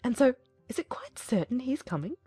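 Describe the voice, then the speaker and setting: A woman voicing a fretful, excitable middle-aged mother in a dramatized audiobook, gushing and plaintive. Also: a little high, brisk, breathy edge, dry recording, soft background music, pitched above the performer's own voice. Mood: flustered, excited. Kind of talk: dramatized audiobook character acting.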